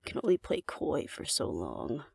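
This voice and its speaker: Woman playing a flirty, gravelly alpha werewolf woman. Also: sits low, wildly swooping pitch, moderate pace, a little mellow, dry recording, studio quality, full character voice. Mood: flirty, teasing. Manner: teasing, coaxing, playfully domineering. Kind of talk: intimate scripted audio roleplay addressed to the listener.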